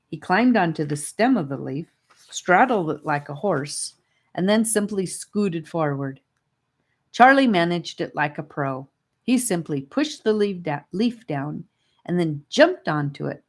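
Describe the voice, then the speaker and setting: A woman's voice: low-pitched, very animated, a little slow. A woman narrating a children's fantasy audiobook from a script. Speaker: audiobook reader.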